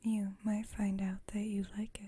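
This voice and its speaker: A woman playing a calm, dryly witty, centuries-old female vampire roommate in an ASMR roleplay, speaking in a soft breathy whisper with gentle, slightly formal phrasing. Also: mid-range voice, unhurried.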